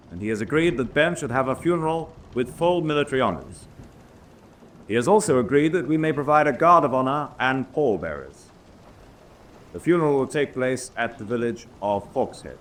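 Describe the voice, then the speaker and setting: An actor playing a grave senior German naval officer held prisoner, speaking in formal, measured announcements in a solemn radio drama style. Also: male voice, mid-range voice, close to an everyday voice. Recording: noisy drama track with ambience.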